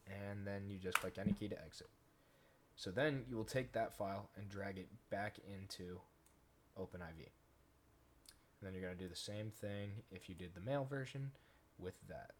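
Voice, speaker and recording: A man casually talking through a software tutorial step by step, in an informal way. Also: fairly low pitch, moderate pace. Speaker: tutorial host, gaming content creator. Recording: noisy home recording with keyboard clicks.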